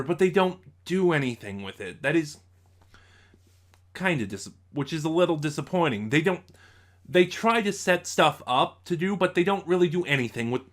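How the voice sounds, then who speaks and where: A man giving a casual review and story recap, speaking haltingly with asides and self-corrections. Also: fairly high pitch, a bit bright. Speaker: audio drama reviewer.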